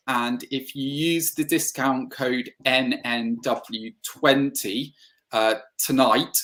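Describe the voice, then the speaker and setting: Male guest on a call, mid pitch, courteous and cheerful. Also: fairly bright tone. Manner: polite and upbeat. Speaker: whisky retailer guest. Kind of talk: casual livestream chat.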